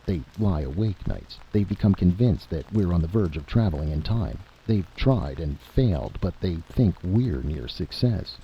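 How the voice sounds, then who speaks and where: A grave intelligence chief, acted by a male narrator delivers urgent, formal statements in a very low voice. The tone is gravelly and emphatic, on a dull recording with clear noise. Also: lively intonation, a little slow, hardly any pauses. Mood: grave urgency. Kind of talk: dramatic audiobook-style reading.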